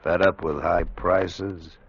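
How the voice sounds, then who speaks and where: An American man announcing in dramatic 1940s radio style, with ominous, drawn-out phrasing. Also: sits low, fairly even intonation, breathy edge. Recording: muffled vintage radio recording, music behind.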